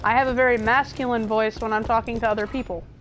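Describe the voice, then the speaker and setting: A woman's voice: a little high, steady tone, fast, music in the background, poor audio. A young woman livestreaming a video game, chatting with viewers in a profane, rambling way. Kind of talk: casual gaming livestream chat.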